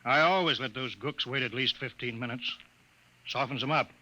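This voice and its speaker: An actor playing a gruff, bigoted senior colonial official in 1950s radio style, with a brusque, bossy, slangy delivery. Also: male voice, medium pitch, normal speed, sharp tone, lightly acted. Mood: scornful. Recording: vintage 1950s radio drama recording.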